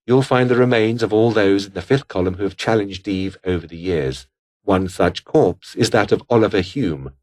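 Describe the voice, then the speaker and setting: An actor voicing a scheming, haughty British officer in a video game, with a pompous, formal, disdainful way of speaking. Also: male voice, on the low side. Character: scheming, haughty British political officer, coldly ambitious man. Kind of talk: scripted game character dialogue.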